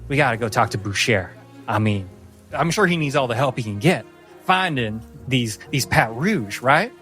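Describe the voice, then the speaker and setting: A man's voice: mid-range voice, lively intonation, normal speed, fairly bright tone, faint music behind, natural voice. A man voicing a tiny, earnest, slightly unhinged swordsman in a tabletop game, cheerful, chivalrous and rambling. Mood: cheerful, indignant.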